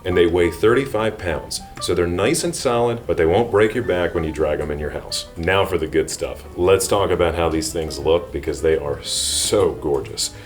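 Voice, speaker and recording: A man with a very deep voice hosts a product review. He explains features in an enthusiastic, presenterly way. Faint music plays behind him. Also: normal speed, hardly any pauses. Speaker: product reviewer and channel host. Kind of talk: scripted product review narration to viewers.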